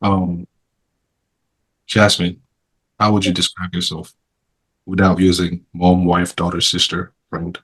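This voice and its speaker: A man's voice: low-pitched, completely flat delivery, slow, long pauses, a bit bright, husky, low-quality recording. A man hosting a casual podcast panel, teasing his guests with playful questions. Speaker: podcast host.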